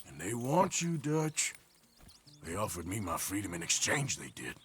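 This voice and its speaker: Game role: weary American gunslinger, sardonic Western drawl, bright edge, lively inflection. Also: male voice, on the low side, moderate pace, faint music behind. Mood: serious. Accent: American Southern/Western drawl. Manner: dry, sardonic, folksy. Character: weary, sardonic American outlaw gunslinger, middle-aged man. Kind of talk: naturalistic video game acting.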